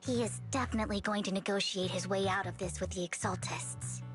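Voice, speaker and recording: A woman's voice: mid-range voice, steady tone, a little fast, natural voice. A woman voicing a sweet, devoted and formal young woman in a video game, polite and earnest. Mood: serious briefing. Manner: polite, earnest, cutesy delivery. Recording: clean game dialogue with music behind.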